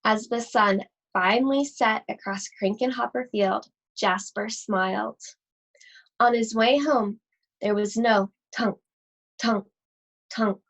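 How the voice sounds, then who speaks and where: Casting: female storybook reader, higher-pitched, unhurried, expressive and suspenseful delivery for young listeners. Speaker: school library read-aloud host. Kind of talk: expressive children's storybook read-aloud.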